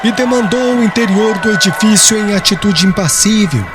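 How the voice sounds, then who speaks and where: A man reading scripted audiobook narration as an expressive, solemn storyteller. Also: high-pitched, moderate pace, crowd noise. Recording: music behind the voice.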